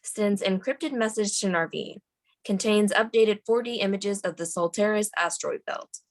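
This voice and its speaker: A woman's voice: mid-range voice, normal speed. A woman reading scripted stage directions aloud as the narrator of a screenplay table read.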